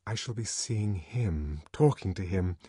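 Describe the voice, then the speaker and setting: A man voicing a hopeful, nervous young woman quietly talking to herself, in an audiobook. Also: sits low, expressive, a little slow, breathy edge, dry recording, clean studio sound, heavily acted.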